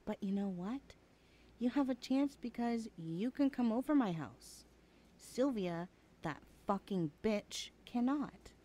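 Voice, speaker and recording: A woman's voice: a little high, lively intonation, slow, warm tone. A woman playing a chatty, teasing young adult best friend in a casual, hesitant ASMR roleplay. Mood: coaxing, teasing. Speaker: voice actor in audio roleplay. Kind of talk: ASMR roleplay, chatty one-sided conversation.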